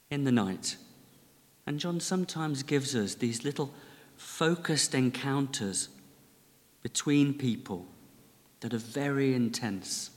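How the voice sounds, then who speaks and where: Man preaching in a reverberant church. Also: mid-range voice. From Lancashire, England. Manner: gentle, measured, conversational with dry humour. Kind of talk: sermon. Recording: long reverb of a large church.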